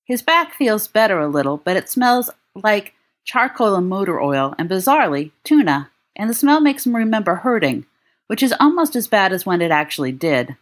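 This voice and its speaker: A woman reading fiction aloud as an audiobook narrator, with expressive delivery. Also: mid-range voice, normal speed. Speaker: volunteer audiobook reader.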